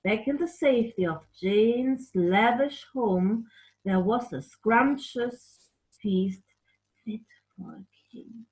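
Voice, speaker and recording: A woman reads a story for children at an unhurried pace. She is expressive and animated, and pauses to explain things and chat playfully with a puppet. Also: fairly high pitch, low-quality recording. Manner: animated, explanatory, makes playful sound effects.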